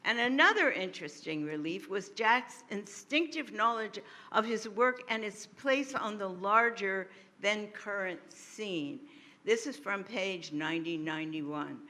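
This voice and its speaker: Woman writer, slow unhurried delivery, bright forceful tone, expressive emphatic reading at a panel. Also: fairly low pitch. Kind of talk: reading excerpts and commenting at a panel.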